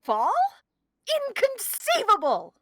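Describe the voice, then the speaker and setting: Casting: woman playing scheming bully mastermind for fairy-tale table read, shrill-high, wildly swooping delivery, imperious. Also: wildly swooping pitch, slow, pushed and bright, no room sound, studio quality, full character voice. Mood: stunned disbelief. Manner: imperious, exclamatory, scolding. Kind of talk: live table read of a film script.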